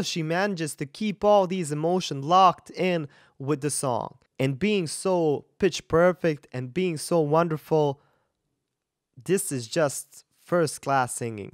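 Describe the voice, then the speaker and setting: A man in his thirties giving casual, expressive reaction commentary as a vocal coach, speaking emphatically into a close studio mic. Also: fairly high pitch, dry recording. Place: small indoor home studio. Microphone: large studio mic up close, headphones on.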